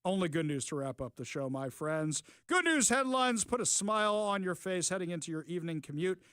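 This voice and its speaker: Emphatic high-voiced talk radio host man. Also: lively intonation, brisk, fairly bright tone, smooth, no echo, studio quality. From Seattle. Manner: emphatic, combative, punchy short declarations. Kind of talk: opinionated talk radio commentary. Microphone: studio microphone.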